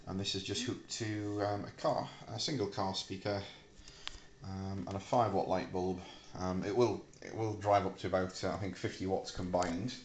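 A middle-aged British man casually demonstrating a homemade device in a hesitant, halting, unscripted way. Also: low voice, fairly even intonation. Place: small hard-walled room indoors. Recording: echoey room, clicks and faint hiss.

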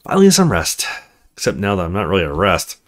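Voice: low-pitched, wildly swooping pitch, fast, voice pushed down. A performer reading a visual novel as a weary, wry young man grumbling through his private thoughts. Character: young male roommate, wry, weary, inner monologue. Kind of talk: visual novel read aloud.

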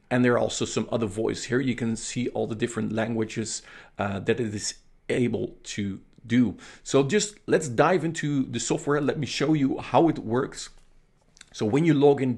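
A man in his thirties whose first language is Dutch, giving a casual, enthusiastic screen-share software review in English with plenty of uh and um fillers. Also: fairly low pitch.

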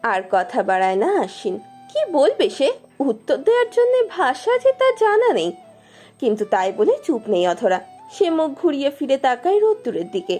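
Lady reading a romance story, mellow and very up-and-down expressive delivery, soft music behind. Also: high voice, normal speed. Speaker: story narrator.

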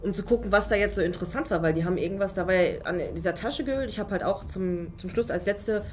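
A young woman with a medium-pitched voice explains herself quickly. She is casually improvising as a suspect in a game. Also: darkish tone, faint music behind. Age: young adult. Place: indoor studio room at a table.

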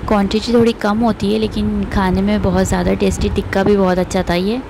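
Chatty woman with a gravelly, flat voice. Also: mid-range voice, fast, background noise. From Pakistan. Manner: chatty, recommending, pious phrases. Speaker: vlogger. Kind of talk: casual vlog narration.

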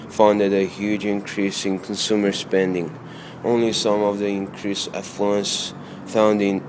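A man reading a scripted radio economy report in a flat, even manner. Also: low-pitched. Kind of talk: scripted narration, read aloud. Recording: clear humming background noise.

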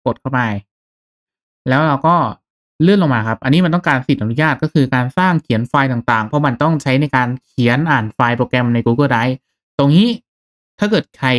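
A man narrating a step-by-step software tutorial in a casual, conversational, instructional way. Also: mid-range voice. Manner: instructional, conversational with polite particles. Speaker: tutorial host.

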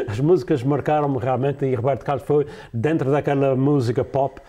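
Mellow older man with a Portuguese accent. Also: mid-range voice, moderate pace. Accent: European Portuguese. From Azores, Portugal. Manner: hesitant, many fillers and restarts, laughs easily. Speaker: musician guest. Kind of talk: casual studio interview. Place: TV studio set, seated in a leather chair.

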